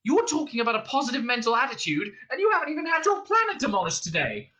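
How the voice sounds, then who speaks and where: A man's voice: very high-pitched, big pitch swings, fast talker, sharp tone, pitched above the performer's own voice, full character voice. A man voicing a hapless, hysterical human man in a story reading, spluttering and whining with indignation. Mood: indignant complaint.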